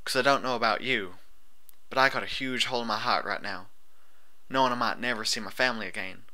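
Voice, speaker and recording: A man's voice: mid-range voice, fast, sharp tone. A man voicing a young female pony, weary and blunt, with folksy drawling speech, in a storybook reading. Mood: weary, despairing.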